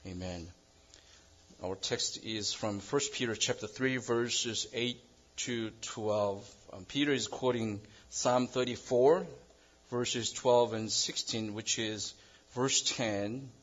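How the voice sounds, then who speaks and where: A man from South Korea with a Korean accent, preaching a sermon as pastor in an earnest, measured way with halting restarts. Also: mid-range voice. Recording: dull lo-fi sound.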